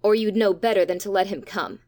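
A woman's voice: mid-range voice, fairly quick, warm tone, no room sound, studio quality, lightly acted. A woman playing a bold, impatient young boy in a dramatised storybook reading, eager, blunt and insistent. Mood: blunt, resistant.

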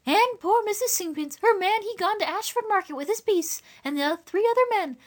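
A scatterbrained working woman from rural England. Her voice leaps up very high, breathless and exclaiming, full of grammar and easily tipped into panic. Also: expressive, normal speed. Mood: fretful.

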